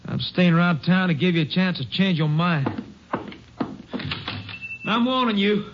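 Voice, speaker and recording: A vengeful young relative just out of prison, menacing, in a highish voice. It is a vintage radio drama with dull, noisy sound. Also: male voice, animated, a little slow. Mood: threatening. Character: menacing, vengeful ex-convict nephew. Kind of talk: old-time radio drama acting. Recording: muffled, noisy old radio recording.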